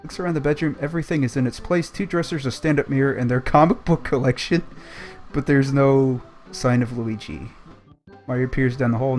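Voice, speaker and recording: A man with a mid-pitched voice reads a story aloud to friends in a casual way. He breaks off for joking, halting asides, with faint music playing behind him. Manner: jokey, halting asides between read passages. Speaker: host reading a book aloud on a livestream. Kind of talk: casual group read-aloud with banter.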